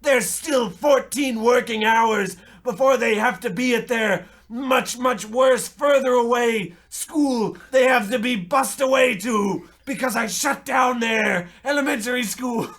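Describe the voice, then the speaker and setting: Man acting bossy factory-owner father, very high pitch, deliberate pace, loud, heartless, comic radio play. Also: exaggerated voice. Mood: triumphant, commanding. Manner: loud, declamatory.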